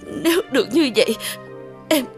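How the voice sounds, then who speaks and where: An actress playing a frail, ailing, sorrowful mother in an animated folk tale, weak and tearful. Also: high voice, normal speed, faint music behind. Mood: weak, grieving.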